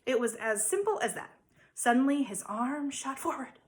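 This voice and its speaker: A woman, a teacher, reading a children's story aloud with dramatic emphasis and theatrical pauses. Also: sits high, expressive. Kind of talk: expressive children's storybook narration.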